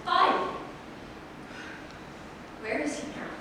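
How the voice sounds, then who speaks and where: A woman's voice: takes it slowly, noisy background, natural voice. A woman playing an imperious, distraught fairy-tale princess in a theatrical staged reading, commanding and emotionally intense. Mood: tense, controlled. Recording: very echoey hall, distant microphone.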